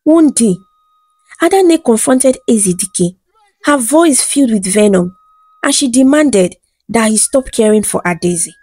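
A woman narrating a scripted folktale in a measured, dramatic storytelling manner. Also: fairly high pitch, lively intonation, dark tone, slightly rough, no room sound.

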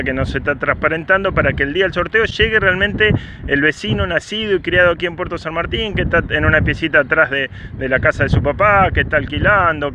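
A man in his forties with an Argentine accent, a city councillor speaking earnestly and insistently in an outdoor interview, often restarting his phrases. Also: fairly high pitch. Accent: Argentine Spanish. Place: open field by a paved street, outdoors. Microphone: camera at close range. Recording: outdoor wind and traffic noise.

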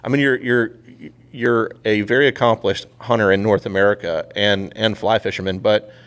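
A man hosting a podcast in casual chat, speaking informally and haltingly, often repeating phrases. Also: on the low side, steady tone, a bit bright. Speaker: podcast host.

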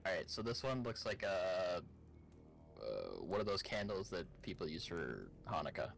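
A man's voice: low-pitched, big pitch swings, moderate pace, creaky, noisy background. A man talking casually as a co-op game partner, describing things as he plays. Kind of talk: casual chat, describing puzzle symbols.

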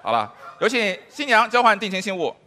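Fast, high-voiced deadpan comic man. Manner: straight-faced delivery of absurd lines. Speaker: manzai comedian, funny man. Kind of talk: manzai sketch comedy, mock announcer delivery. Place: large TV studio stage with live audience. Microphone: headset. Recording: very noisy stage with audience.

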